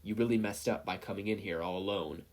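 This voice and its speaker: Menacing male kidnapper, voiced by a man, gravelly, cold, rough, for audio fiction. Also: on the low side, fast talker, close to an everyday voice.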